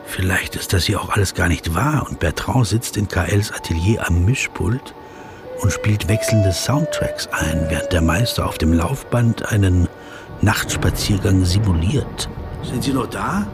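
An actor playing a polite, self-conscious writer in a German radio play, probing hesitantly and adding dry, ironic asides. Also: male voice, deep voice, unhurried, music in the background. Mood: wry, musing. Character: wry, polite, self-conscious male writer interviewing a celebrity. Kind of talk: German radio play dialogue and inner narration.

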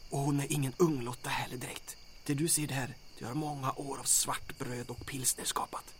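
A man's voice: medium pitch, flat delivery, lightly acted. A man playing a nervous, reluctant soldier sidekick in a comic audio drama, wry and protesting. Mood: nervous, hushed. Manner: wry, protesting, often hissed whisper or yelled.

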